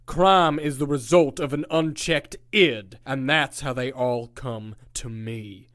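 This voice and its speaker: A man's voice: mid-range voice, steady tone, slow, a bit bright, dry recording, studio quality. An actor playing a sadistic captor in an audio drama, mocking, grandiose and theatrically wordy as he toys with his prisoner. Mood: cold, superior. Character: sadistic, taunting male captor playing mind games. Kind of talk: audio drama villain acting.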